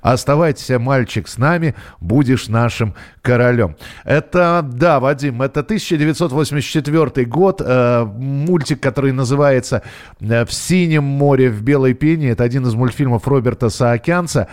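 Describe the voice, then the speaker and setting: A man's voice: medium pitch, normal speed, darkish tone. A man hosting a radio call-in show, chatting casually and musing aloud as he goes. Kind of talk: casual live call-in chat.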